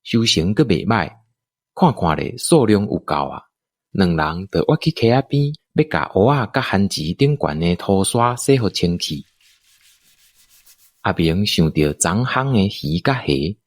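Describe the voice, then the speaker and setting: Taiwanese male narrator for radio drama: low pitch, very lively intonation, expressive storytelling, dry studio sound. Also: dry recording.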